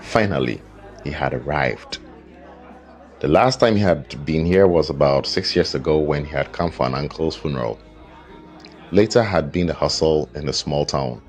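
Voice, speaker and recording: A man narrating a scripted folktale as a storyteller, with a measured, dramatic delivery. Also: very deep voice, lively intonation. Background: background music with guitar.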